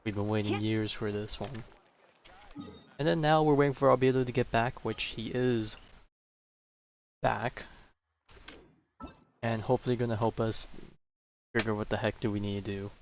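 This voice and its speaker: A man's voice: on the low side, steady tone, moderate pace, long pauses, slight background noise. A man giving casual, offhand commentary as he streams a video game, with muttered asides. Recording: slightly dull microphone, game music behind. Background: game music.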